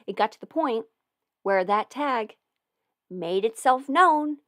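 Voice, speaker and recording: A woman's voice: on the high side, expressive, slow pace, a bit bright. A woman hosting a casual livestream, chatting informally and thinking aloud as she goes. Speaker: livestream host and voice performer answering viewer questions. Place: indoors at a desk at home.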